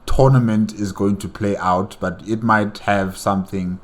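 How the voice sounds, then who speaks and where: A man hosting a reaction video, musing casually and thinking out loud. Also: fairly low pitch, fairly even intonation, unhurried. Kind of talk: casual commentary.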